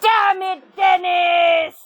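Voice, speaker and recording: Woman as bossy pirate captain, extremely high pitch, shouty, exasperated, comic radio play, light noise. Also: steady tone, extremely slow, dull audio, a faint crowd, heavily acted. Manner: shouty, commanding.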